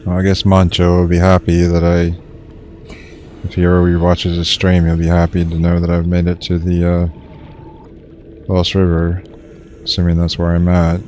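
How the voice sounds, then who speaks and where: A young man casually commenting while he streams a survival game, in a low-key monotone, rambling with fillers and restarts. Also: moderate pace, long pauses, slight background noise.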